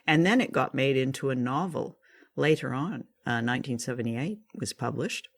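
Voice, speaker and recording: Easygoing North American podcast co-host, medium pitch. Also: animated, moderate pace. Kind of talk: casual review chat.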